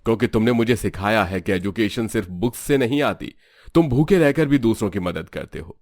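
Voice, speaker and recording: A man's voice: fairly low pitch, expressive, fairly quick, a little mellow, dry recording, clean studio sound. A man playing a kindly, encouraging school principal with reassuring authority in a storybook drama. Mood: earnest. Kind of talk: storybook audio drama acting.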